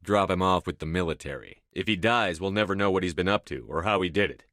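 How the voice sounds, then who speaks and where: An actor playing a stern, steady human military commander in a sci-fi video game, clipped, authoritative and matter-of-fact. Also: male voice, low-pitched, quick pace, dry recording, clean studio sound. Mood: firm. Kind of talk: video game dialogue acting.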